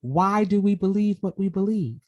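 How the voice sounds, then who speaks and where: A woman's voice: mid-range voice, animated, normal speed, mellow, lo-fi sound. A middle-aged woman hosting a podcast interview, talking casually and affirming her guest, often repeating words and saying "you know". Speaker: podcast host.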